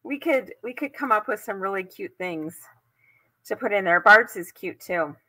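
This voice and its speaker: A woman's voice: mid-range voice, animated, moderate pace, pushed and bright, poor audio. An older woman with an American accent hosting a craft tutorial live from home, chatting casually as she demonstrates. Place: indoors at home.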